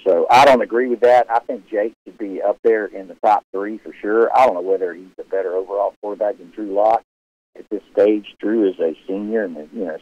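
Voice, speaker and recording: A man with a very low, loud voice chats casually on a live sports stream, rambling and restarting his sentences. The audio is dull and lo-fi, and it is close and dry with no room sound. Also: no room sound. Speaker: sports show host answering viewer questions. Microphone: live stream setup.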